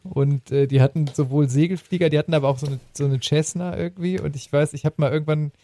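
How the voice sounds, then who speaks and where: A man's voice: fairly high pitch, fairly even intonation, fast, mellow. A man co-hosting a podcast, telling anecdotes in an informal, rambling conversational way. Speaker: podcast co-host.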